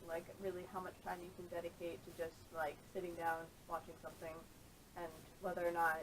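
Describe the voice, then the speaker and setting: A woman's voice: completely flat delivery, a little fast, low-quality recording. A woman chatting casually on a podcast, tentative and hedging as she talks, recorded on a camera microphone. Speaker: podcast panelist. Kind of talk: casual chat. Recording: camera audio with faint rumble.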